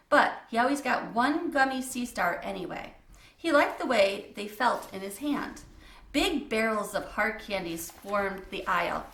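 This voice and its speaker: A woman's voice: a little high, fairly bright tone, echoey room. A woman reading a children's book aloud as a storyteller, in a scripted narration style.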